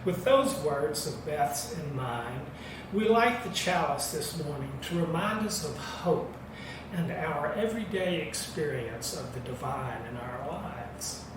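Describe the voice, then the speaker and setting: A man leading worship with a solemn scripted reading, measured and reverent. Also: on the high side, animated. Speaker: worship leader. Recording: very echoey, distant mic, noisy background.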